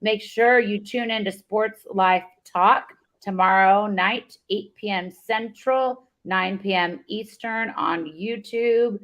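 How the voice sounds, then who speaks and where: A woman co-hosting a casual sports podcast, upbeat and giving shout-outs. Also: medium pitch, takes it slowly, few pauses. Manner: upbeat, gives shout-outs and sign-offs. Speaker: podcast co-host. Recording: dull lo-fi sound.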